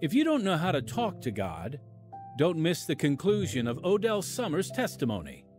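A man's voice: a little high, huge pitch swings, moderate pace, music in the background. A man hosting a radio drama podcast, reading scripted announcements and narration to listeners.

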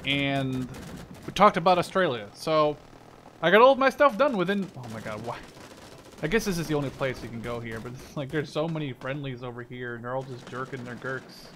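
A man's voice: mid-range voice, lively intonation, fairly quick, slight background noise. A man giving casual, rambling, self-critical commentary while playing a game, thinking aloud. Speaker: gaming video host. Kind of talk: casual first-person gameplay commentary. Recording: voice over loud game gunfire, artillery. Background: video game gunfire and artillery.

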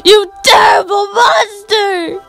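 A woman's voice: very high-pitched, steady tone, slow pace, vocal fry, fairly bright tone, soft background music, exaggerated voice. A woman voicing a hapless, tearful wolf man in a cartoon fan dub, with whiny, wailing pleas. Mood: distraught, wailing.

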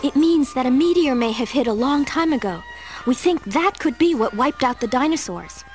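A woman's voice: sits high, animated, moderate pace, darkish tone, soft background music. A woman playing a polite, earnest young scientist in a radio play.

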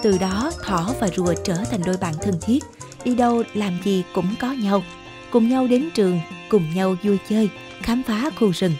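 A woman's voice: mid-range voice, normal speed. A woman giving scripted storybook narration for a children's fable. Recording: music behind the voice. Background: background music.

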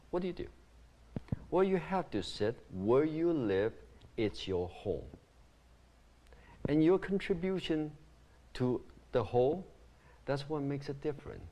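Animated middle-aged man from Taiwan. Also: medium pitch, slight background noise. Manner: animated, gestures with hands while explaining. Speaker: guest, community founder. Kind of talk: earnest interview answers. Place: ornate mansion living room.

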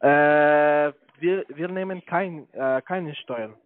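A man with a foreign accent, not a native speaker, making a hesitant telesales pitch for investments. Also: dry recording. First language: not German. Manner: halting, many hesitations. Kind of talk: phone sales pitch. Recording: tinny dry phone line.

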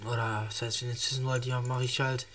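A man's voice: medium pitch, level tone, quick pace, fairly bright tone, distant mic. Young male reaction streamer with a cold, loose rambling delivery, sits a little back from the mic. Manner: rambling, many restarts and fillers. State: has a cold. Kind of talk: casual reaction commentary.

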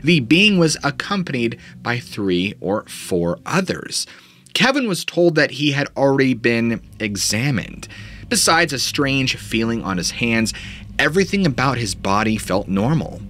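A man's voice: mid-range voice, sing-song, unhurried, fairly bright tone, a little hiss or hum. A man in his thirties narrating eerie stories as a host, in a dramatic storytelling manner, from a small home studio on a studio mic. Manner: dramatic storytelling with sweeping rises and dips. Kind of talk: scripted narration of paranormal stories. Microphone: studio mic in front of him.

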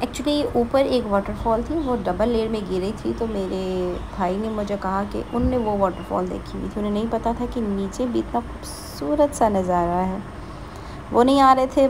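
A woman's voice: medium pitch, background noise. A woman narrating a casual travel vlog, chatty and keen to recommend places to her viewers. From Pakistan. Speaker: travel vlogger.

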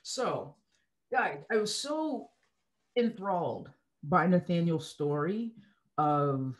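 A woman's voice: sits low, a little mellow. A middle-aged woman hosting a podcast interview over a video call, enthusiastic and gushing, often repeating herself. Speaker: podcast host. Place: home studio, video call. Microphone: large studio mic with earbuds.

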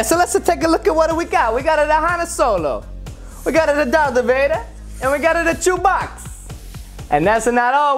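Excitable cartoon plumber, mock Italian accent, high pitch, broken grammar, playful scolding, light music underneath. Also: female voice. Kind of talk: playful toy unboxing in character.

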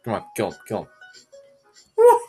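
A young man giving goofy, self-mocking commentary while playing a game, sick and sounding deeper than usual. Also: huge pitch swings, normal speed, dark tone, slightly rough, soft background music. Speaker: gamer. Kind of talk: casual gameplay commentary.

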